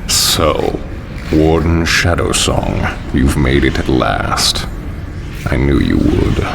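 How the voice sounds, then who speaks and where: Casting: vengeful, arrogant demon-elf lord for a game, bottomless bass, measured and menacing delivery. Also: male voice, lively intonation, creaky, heavy background noise, heavily acted. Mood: smug. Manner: menacing, haughty, deliberate. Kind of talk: dramatic video game cutscene acting. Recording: loud music behind the voice. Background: dramatic music.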